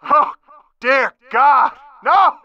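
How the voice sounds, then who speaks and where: A man's voice: very high voice, animated, drawn-out words, no room sound, highs cut off, exaggerated voice. An actor playing an alert male base announcer in a video game, calling out battle warnings in an urgent, clipped manner. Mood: alarmed.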